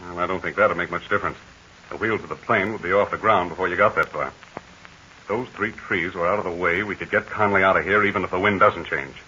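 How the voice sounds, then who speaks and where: An actor playing a brave, steady adult aviator hero in 1940s radio acting, calm and reassuring in manner. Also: male voice, low-pitched, fairly quick. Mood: grave. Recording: hissy, muffled 1940s radio transcription.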